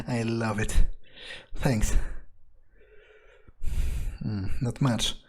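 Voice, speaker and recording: A man's voice: mid-range voice, steady tone, drawn-out words, breathy edge. A man doing in-character gameplay commentary, thinking aloud in a musing, muttering, crude way. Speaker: role-playing game streamer, speaking in character. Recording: faint noise and game ambience.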